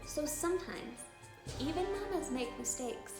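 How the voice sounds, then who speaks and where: A woman reading a children's story aloud as host, with a dramatic, expressive storytelling delivery. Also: high voice, measured pace. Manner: dramatic, animated delivery with emphatic stresses and hushed moments. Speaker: children's book reader and channel host. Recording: roomy echo with clear music behind. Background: music.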